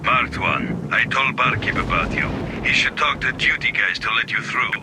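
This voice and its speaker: A man's voice: mid-range voice, lively intonation, moderate pace, very noisy, close to an everyday voice. A man playing a matter-of-fact trader who briefs a hired scavenger in a video game, informal and directive.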